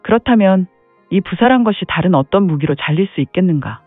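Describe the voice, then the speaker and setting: A man with a fairly high voice narrates a martial-arts serial in a dramatic storyteller's manner, sprinkling in "heh heh" interjections. The audio is thin and phone-like and very dry. Faint music plays underneath. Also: animated, a little fast, no room sound.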